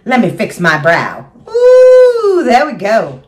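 A woman's voice: a little high, huge pitch swings, slow pace, darkish tone, heavily acted. A woman playing a chatty, giddy housewife and mother of seven who gushes and talks to herself while putting on makeup. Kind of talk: comic character monologue.

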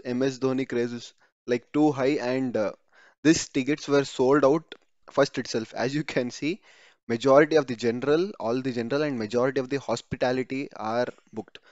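A man's voice: medium pitch, fairly even intonation, normal speed, a little mellow, no echo. A man narrating a screen-recorded tutorial in a conversational way, often repeating words and correcting himself mid-word. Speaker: YouTube tutorial host. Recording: dry, slightly dull home voice-over.

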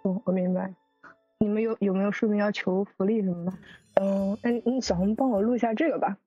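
A woman chats quickly in a mellow voice, hosting an informal group talk and drawing others in. The sound is dull and lo-fi, like an online voice chat, with music behind her. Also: medium pitch, fairly even intonation, husky, dry recording. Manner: chatty, prompting others with questions. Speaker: host of a drama cast discussion.

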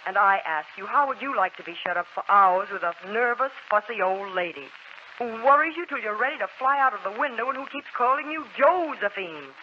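An actress playing a spirited, outspoken teenage tomboy with a blunt, lively manner in a 1940s radio drama. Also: female voice, medium pitch, fairly quick, slight background noise, lightly acted. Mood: wry. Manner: blunt, lively, playfully exasperated. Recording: muffled 1940s radio broadcast, music behind.

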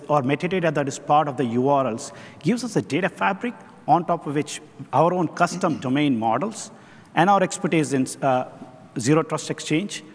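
Tech executive presenting on a big stage. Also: male voice, fairly high pitch, breathy edge. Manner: measured, rhetorical questions. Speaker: chief technology officer. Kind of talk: keynote presentation. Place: large conference stage. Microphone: headset mic. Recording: long reverb of a large hall.

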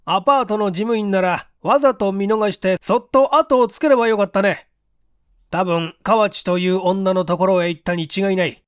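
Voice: mid-range voice, moderate pace, dry recording, clean studio sound, pitched above the performer's own voice, close to an everyday voice. A performer voicing a stern, overbearing male police investigation chief in a mystery audiobook, accusatory and commanding. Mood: brisk authority.

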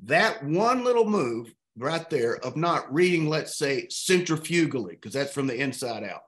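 A man in his fifties from East Texas leading a literature discussion over a video call, expressive and thoughtful, stretching out key words. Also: fairly high pitch, normal speed. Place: home room with art on walls.

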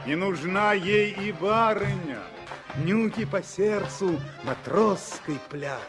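A man's voice: high-pitched, takes it slowly. A man as the lyrical storyteller narrating a 1960s Soviet radio play in dramatic, scripted style. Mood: stirred. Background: music.

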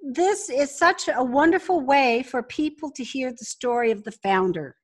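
A woman's voice: sits high, lively intonation, moderate pace. A woman speaking conversationally as a guest in an interview, explaining her business. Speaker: podcast guest, business founder.

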